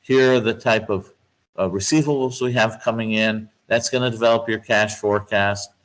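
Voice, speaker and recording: A low-pitched male guest speaks as a finance expert in an interview. He explains things in a measured, emphatic way, building his points step by step. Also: steady tone, a bit bright, low-quality recording. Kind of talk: interview answers, explanatory business talk.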